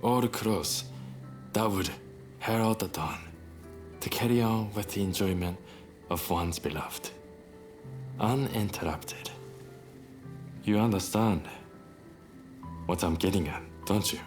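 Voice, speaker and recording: A young samurai rebel in a video game romance scene. His voice sits low and he speaks slowly, with a teasing, cocky, smooth-talking charm. Music plays behind him. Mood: suggestive. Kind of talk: video game cutscene voice acting.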